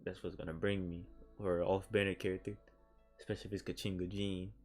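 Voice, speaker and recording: A man with a low-pitched voice gives casual livestream game commentary. He rambles and thinks aloud as he goes, with game music playing faintly behind him. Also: little pitch movement, quick pace. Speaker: game streamer.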